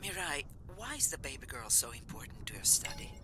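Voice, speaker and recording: A woman playing a calm, cautious research scientist in a video game, measured and dryly humorous. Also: rumbling low, soft background music, exaggerated voice. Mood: gentle, curious. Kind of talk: naturalistic video game voice acting.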